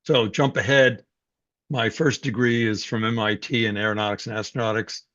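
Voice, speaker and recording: Older man telling his story in a low voice. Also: fairly even intonation, a bit bright, no room sound. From New York City, USA. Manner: reflective, unhurried, with frequent fillers and restarts. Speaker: guest speaker. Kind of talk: personal life story, conversational talk. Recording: dull, dry sound.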